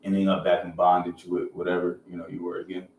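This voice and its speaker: A man with a deep American voice hosts a podcast in loose, informal language, sometimes reading out reference text. The room echoes, and he sits back from the mic with faint noise around him. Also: monotone, fast talker, darkish tone. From Georgia, United States. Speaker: podcast host. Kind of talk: casual podcast talk with some reading aloud from a reference. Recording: roomy echo, distant mic, faint noise.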